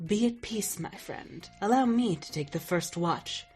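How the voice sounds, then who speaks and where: A woman voicing a mysterious otherworldly host who introduces the story in a calm, ominous, theatrical way. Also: medium pitch, measured pace, faint music behind, lightly acted.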